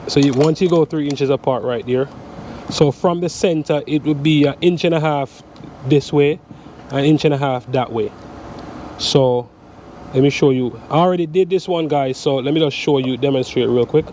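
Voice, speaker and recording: A man in his thirties with a slightly stuffy, congested voice walks through his work in a relaxed, step-by-step way, dropping "so" and "guys" often. He talks into a camera strapped to his chest outdoors, with clear outdoor noise, traffic and air conditioning hum behind him. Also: a little high, moderate pace. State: stuffy from a cold. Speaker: electrician vlogger. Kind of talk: casual how-to vlog. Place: outdoors on a sunny waterfront dock. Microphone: chest-mounted action camera.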